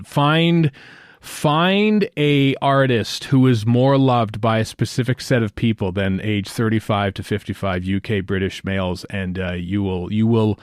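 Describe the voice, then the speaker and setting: A man from Western Canada with a Canadian accent, hosting a podcast in a rambling, halting, jokey style of casual chat. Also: fairly low pitch, no room sound, studio quality. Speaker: podcast host.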